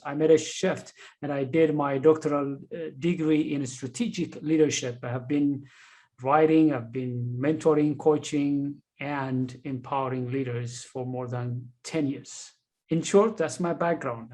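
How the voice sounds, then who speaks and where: A man from Ethiopia with an Ethiopian accent, speaking as a guest in an interview and explaining his background in an earnest, deliberate way. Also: on the high side, fairly even intonation, a little mellow. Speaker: guest, leadership coach and author. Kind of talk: interview answers.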